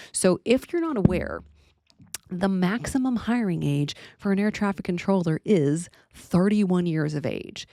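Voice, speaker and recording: An American woman with a low voice talks straight to her viewers in a casual vlog style. She is blunt and colloquial, leaning hard on her points, and the sound is close and dry. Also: warm tone, no room sound. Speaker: aviation vlogger and pilot. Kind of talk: casual opinion commentary to viewers.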